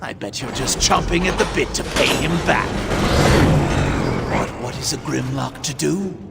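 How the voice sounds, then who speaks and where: A man voicing an arrogant, cowardly, scheming robot villain in a video game, with a gravelly, theatrical, sneering delivery. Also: mid-range voice, lively intonation, measured pace, heavy background noise. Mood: gloating.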